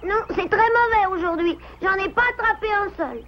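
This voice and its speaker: A woman voicing a young, teasing, excitable animal friend in a children's cartoon, with a childlike delivery. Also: extremely high pitch, moderate pace, a little hiss or hum. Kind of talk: dubbed children's cartoon acting. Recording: dull lo-fi cartoon soundtrack, music behind.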